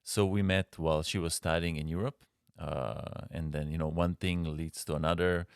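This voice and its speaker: A man from Slovenia with a Slovenian accent, a guest designer answering interview questions in a halting way with frequent pauses. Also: extremely low pitch, fairly even intonation, gravelly, clean tone, no echo, studio quality.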